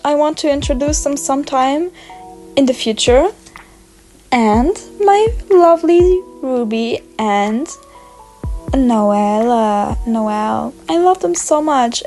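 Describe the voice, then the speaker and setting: A woman's voice: high-pitched, darkish tone. A young woman with a German accent, chatting casually in a bubbly, rambling voice-over about her drawings. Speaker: art vlogger.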